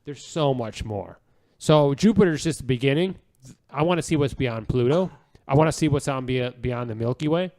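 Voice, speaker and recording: A man's voice: mid-range voice, animated, normal speed, a little mellow. A man co-hosting a podcast, chatting casually and enthusiastically in a rambling, gushing way that repeats phrases. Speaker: podcast co-host.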